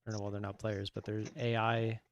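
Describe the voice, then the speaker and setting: A man's voice: lowish voice, flat delivery, fairly quick, dry recording, lo-fi sound. A man hosting a live trading stream, chatting casually in a laid-back, rambling way and laughing easily. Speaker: stream host.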